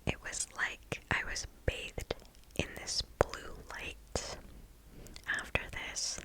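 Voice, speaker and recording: A woman speaks entirely in a soft, breathy whisper, very close to the microphone. Her delivery is slow and deliberate, with gentle phrasing suited to an ASMR reading of scary stories. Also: rough recording. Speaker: ASMR host.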